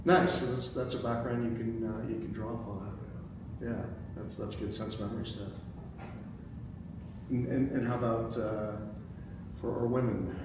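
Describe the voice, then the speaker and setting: A man's voice: medium pitch, fairly even intonation, normal speed, long pauses. Give me a man moderating in a very echoey room. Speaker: moderator of a screenplay table read. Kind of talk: informal group discussion and reading aloud stage directions. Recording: echoey, noisy room, distant microphone.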